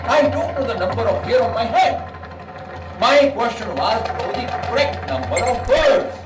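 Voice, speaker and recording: A man playing an imperious puppet king in a children's show, questioning theatrically with deliberate pauses. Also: very high-pitched, expressive. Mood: angry, threatening. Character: grand puppet king, commanding, amused, quick to threaten punishment. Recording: echoey, distant, music behind.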